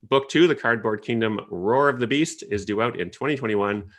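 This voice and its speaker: A man from Canada, moderating an online panel in a relaxed, chatty way with hesitant fillers, over a video call. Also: on the low side, normal speed. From Ottawa, Canada.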